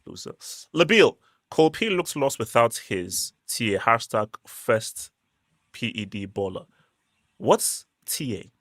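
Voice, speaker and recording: A man's voice: mid-range voice, big pitch swings, measured pace, fairly bright tone, no echo, studio quality. A man hosting a casual online football stream, reading viewer comments aloud and defending his club. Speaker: host reading chat messages. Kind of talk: casual live stream chat.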